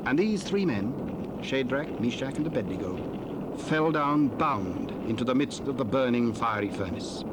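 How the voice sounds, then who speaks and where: Male narrator, medium pitch, solemn, formal, measured scripture reading, clearly noisy old cassette. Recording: noisy 1970s cassette recording.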